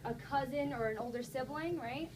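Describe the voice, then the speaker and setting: Female primary teacher, high voice, encouraging interactive story reading for kids, recorded in a noisy room. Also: normal speed. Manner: encouraging, instructive, addresses children directly. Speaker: teacher reading aloud to children. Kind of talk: interactive storybook read-aloud with questions to a class.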